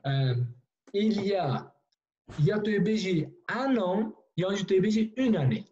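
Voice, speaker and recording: A male teacher leads a French class with a fairly high voice and a mellow, dark tone. He keeps repeating the learners' sentences back to them and praising them. The recording sounds slightly dull and lo-fi. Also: lively intonation, measured pace. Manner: repeats learners' sentences, encouraging, switches languages. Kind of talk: classroom language lesson.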